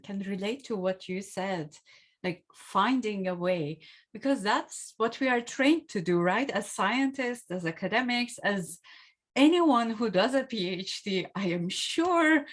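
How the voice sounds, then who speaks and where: A woman's voice: on the high side, fairly bright tone. A woman hosting a podcast interview, affirming her guest and building on what was said. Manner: affirming, picks up the guest's words. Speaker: podcast host. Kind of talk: interview conversation.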